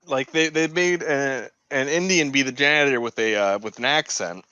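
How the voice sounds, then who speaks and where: Man, podcast co-host, casual, opinionated, forceful tone, no room sound. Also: mid-range voice, normal speed, smooth, no room sound, lo-fi sound.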